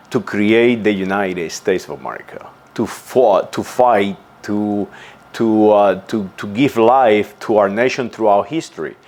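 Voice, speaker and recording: A man delivering an earnest, insistent monologue as a channel host, often stumbling and repeating words before going on. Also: on the low side. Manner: halting, with frequent restarts and repeated words. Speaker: channel host giving a commentary. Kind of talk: unscripted spoken monologue.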